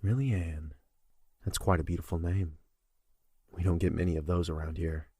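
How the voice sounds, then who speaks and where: A self-assured royal guard for an audio drama, teasing and flirtatious. His voice is deep and husky, with a dry, close sound. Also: steady tone, normal speed, warm tone, no room sound. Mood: flirty, teasing.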